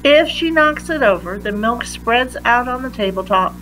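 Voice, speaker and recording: A woman reading a children's science picture book aloud with deliberate, emphatic phrasing for young listeners. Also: fairly high pitch, lively intonation, a bit bright, a little hiss or hum, rough recording. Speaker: read-aloud reader.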